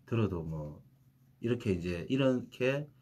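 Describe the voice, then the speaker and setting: A man from Jinju, a music company head, talking as a radio guest with dry, deadpan humor. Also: lowish voice, measured pace. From Jinju, South Korea. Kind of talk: casual radio chat.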